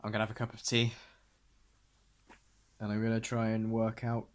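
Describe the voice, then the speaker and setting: A man's voice: on the low side, monotone, normal speed. A young man talking candidly and personally to camera in a hesitant, reflective way. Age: young adult. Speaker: vlogger. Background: music.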